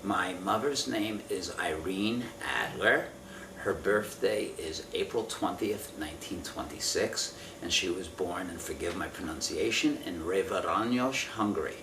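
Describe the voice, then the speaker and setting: A man in his fifties answering an oral history interview from memory, speaking in a halting, careful, measured way. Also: sits low. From Israel. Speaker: interviewee giving family testimony. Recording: roomy, distant mic with faint noise.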